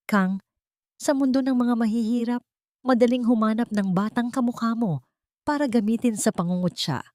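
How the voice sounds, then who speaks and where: A woman's voice: fairly high pitch, moderate pace, darkish tone, dry recording, studio quality, pitched above the performer's own voice, lightly acted. A woman storyteller voicing a scheming rich mother, scornful and commanding.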